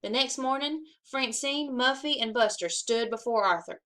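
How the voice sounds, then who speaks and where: A woman reading a children's story aloud in an expressive, animated way, acting out the dialogue with emphasis. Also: a little high, normal speed, slight background noise. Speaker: assistant principal reading aloud to schoolchildren.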